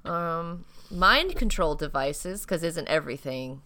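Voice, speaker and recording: Lively, bright-voiced woman podcast host. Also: on the low side, a little slow, a little hiss or hum. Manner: playful, laughs often, jokey asides. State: tired. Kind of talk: casual chat.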